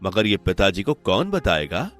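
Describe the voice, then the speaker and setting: A man voicing a greedy, scheming young prince in an animated story, gloating and menacing. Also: deep voice, moderate pace, soft background music. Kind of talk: animated moral story voice acting.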